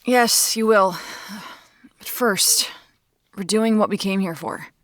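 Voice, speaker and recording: An actress plays a woman amateur detective in an audio drama. She is brisk and guarded, with a medium-pitched voice. Her delivery is curt, commanding and deadpan, edged with dry wit. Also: noisy background, close to an everyday voice. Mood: annoyed, sarcastic.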